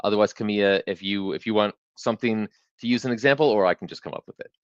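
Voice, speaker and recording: A man's voice: fairly low pitch, fast, clean tone, dry recording, lo-fi sound. A man hosting a live real estate webinar, explaining data tools in a conversational way with frequent restarts.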